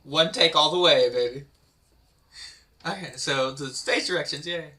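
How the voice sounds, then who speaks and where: A man's voice: on the high side, measured pace, bright, forceful tone. A man with an easygoing, encouraging manner, chatting casually as he directs an amateur script read. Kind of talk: casual chat between readings.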